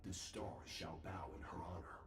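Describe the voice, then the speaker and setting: An actor playing an aloof, self-assured master warrior in a video game, speaking in a formal, measured, lofty way. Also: male voice, low voice, steady tone, reverberant, over music, lightly acted. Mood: calm, lofty. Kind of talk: dramatic video game voice acting.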